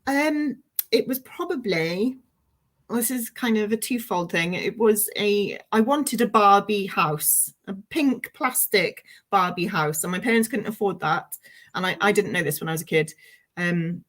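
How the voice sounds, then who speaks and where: A woman's voice: mid-range voice, animated, a little slow, a bit bright. A woman speaking as a guest author in a casual interview, informal, enthusiastic and self-deprecating.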